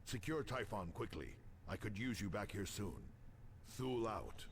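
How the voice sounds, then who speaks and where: An actor playing an authoritative, grave space marine captain who speaks formally in a video game transmission. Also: male voice, on the low side, very animated, normal speed. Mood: grave, commanding. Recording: game audio with faint noise.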